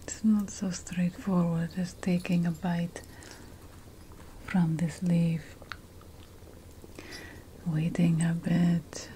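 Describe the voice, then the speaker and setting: Slow whispering woman playing an ancient witch mentor. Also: on the low side, flat delivery, dark tone, slight background noise, poor audio. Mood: fussy, instructive. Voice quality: breathy unvoiced whisper. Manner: slow, deliberate, wry, theatrical. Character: ancient Mesopotamian witch mentor, teasing, fussy about plant remedies. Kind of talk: whispered ASMR fantasy roleplay.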